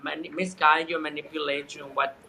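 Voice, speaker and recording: A man's voice: a little high, little pitch movement, fairly quick, bright, forceful tone, a little hiss or hum. A man in his thirties from Chile, a guest in a casual spiritual conversation who rambles and searches for his words. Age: 30s.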